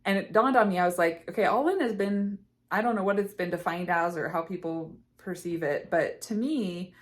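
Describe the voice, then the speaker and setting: Recovery coach, woman, a bit hoarse, lower pitch, earnest chatty delivery. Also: normal speed. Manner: earnest, many fillers and restarts. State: slightly hoarse, losing her voice. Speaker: eating disorder recovery coach. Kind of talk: casual talk to camera.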